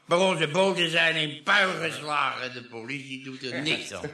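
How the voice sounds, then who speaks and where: Sharp, cheeky tipsy fisherman. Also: on the high side, fairly even intonation, normal speed. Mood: indignant, defiant. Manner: defiant, mocking, colloquial. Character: cheeky, tipsy, grumbling male village fisherman. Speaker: actor in a radio play. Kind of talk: Dutch radio drama acting. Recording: echoey radio-play sound.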